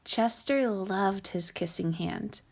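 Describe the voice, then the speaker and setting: A woman teacher reading a picture book aloud to children with measured, deliberate phrasing. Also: mid-range voice. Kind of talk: scripted storybook narration for children.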